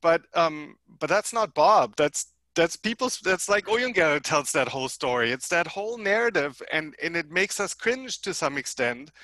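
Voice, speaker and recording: Bright, dry-voiced male panel moderator. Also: a little high, expressive, moderate pace, few pauses, no echo. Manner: chatty, upbeat, asks follow-up questions. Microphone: headset mic on video call.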